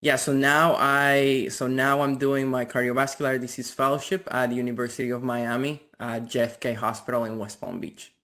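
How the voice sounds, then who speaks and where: Mid-pitched man from the Dominican Republic. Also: little pitch movement, moderate pace, few pauses, sharp tone. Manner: repeats words, frequent "like" and "you know". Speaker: interview guest, physician. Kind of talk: casual conversational interview.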